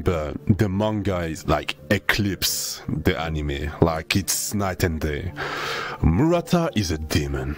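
A young man from Senegal, raised in France, chatting casually with his stream viewers in a laid-back, slangy way, swearing freely. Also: low-pitched. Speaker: VTuber streamer.

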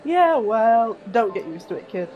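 Woman acting, tough hearty pony mare, high voice, unhurried pace, commanding, audio drama. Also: big pitch swings, dull audio, soft background music. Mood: brisk. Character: brash, hearty female pony deputy, tough but fond.

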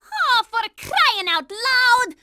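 A woman voicing a bossy, exasperated girl commander in a cartoon video game, scolding loudly. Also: very high voice, sing-song, extremely slow, dry recording, studio quality, heavily acted. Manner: scolding, loud.

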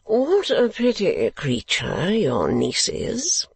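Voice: mid-range voice, wildly swooping pitch, takes it slowly, slightly rough, no echo, pitched above the performer's own voice, full character voice. A narrator voicing a foolish, eager young English lord in a storybook, with an affected, wheedling drawl. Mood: languid, admiring. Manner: drawling, affected, hushed and pleading.

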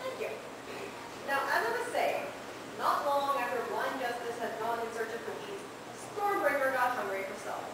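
A woman's voice: fairly high pitch, expressive, normal speed. A woman narrating a fairy tale in a live, scripted radio play. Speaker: storyteller. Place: large convention meeting room, on stage. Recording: echoey, noisy convention hall from far off.

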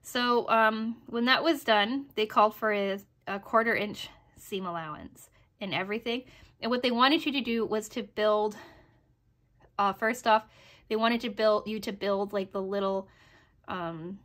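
A woman with a slightly hoarse voice, giving a casual, chatty craft review with hesitant phrasing and little check-ins. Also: mid-range voice, measured pace, a bit bright. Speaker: sewing pattern reviewer. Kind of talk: casual review narration over project photos.

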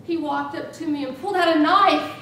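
A middle-aged woman giving a humorous tall-tale speech with theatrical, deliberate delivery and dramatic emphasis. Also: fairly bright tone. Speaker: contest speaker. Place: small meeting room with rows of chairs. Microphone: far camera. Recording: echoey room from far off, noisy rumble.

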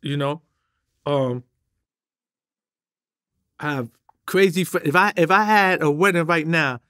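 A middle-aged man with a New York accent talks in an emphatic, streetwise way, packing his speech with slang. His voice sits a little higher than most men's, and he gestures as he tells his stories as an interview guest. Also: unhurried, fairly bright tone, clean tone. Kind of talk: animated street storytelling. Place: indoor studio with a drum kit.

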